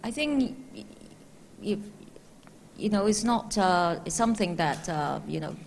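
A woman's voice: mid-range voice, lively intonation, a little slow, a little mellow. A middle-aged woman on a conference panel, an aviation company executive, answering hesitantly with many fillers into a handheld mic. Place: large conference hall stage.

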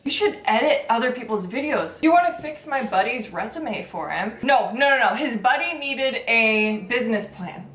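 A woman's voice: a little high, fairly bright tone. A woman in her thirties chatting casually and jokingly as a vlog co-host, recorded indoors from a few feet away. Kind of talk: casual comedic chat between friends. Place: small room at home, indoors. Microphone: camera a few feet away. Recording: roomy echo, faint background noise.

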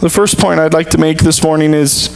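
A man's voice: fairly high pitch, fairly even intonation, gravelly, a little hiss or hum, rough recording. Earnest man preaching at a lectern. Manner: earnest, measured preaching with deliberate pauses. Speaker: pastor. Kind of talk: sermon. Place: church sanctuary stage.

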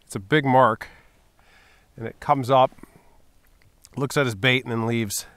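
A man in his thirties chatting excitedly to viewers while ice fishing outdoors on a snowy lake, recorded on a camera mic. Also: medium pitch, measured pace, no echo. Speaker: fishing vlogger. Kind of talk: casual vlog narration.

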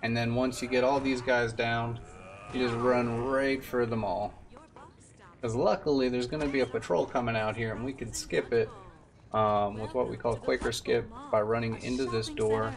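A man's voice: medium pitch, fairly even intonation, normal speed, vocal fry, a crowd in the background. A man in his twenties giving casual commentary as he streams a video game. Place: indoor room at home. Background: game music.

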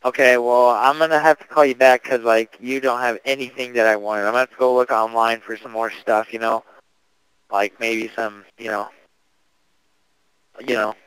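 A man's voice: mid-range voice, little pitch movement, normal speed, a bit bright, clear, resonant tone, dry recording. A young man making a casual prank phone call, asking slangy questions like a mock-earnest customer. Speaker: prank caller.

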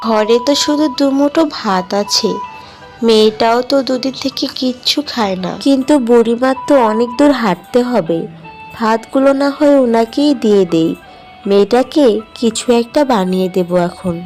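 Woman playing a high-voiced, sorrowful village mother. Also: normal speed, a little mellow, soft background music, natural voice. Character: poor, kind, sorrowful young village mother. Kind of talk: children's fairy-tale cartoon.

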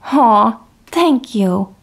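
A woman's voice: a little high, animated, drawn-out words, vocal fry, exaggerated voice. A woman acting a weary, coolly polite 1950s housewife in a radio drama.

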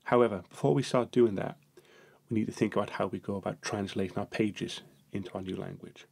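Low gravelly man narrating a tutorial. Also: brisk. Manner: steady, explanatory, step-by-step.

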